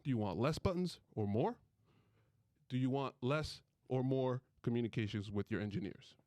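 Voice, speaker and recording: A man hosts a sports podcast in a casual, bantering style. His pitch sits in the middle for a male voice. The sound is dry, with very little room in it. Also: moderate pace, mellow, no echo. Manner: playful, bantering. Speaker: podcast host.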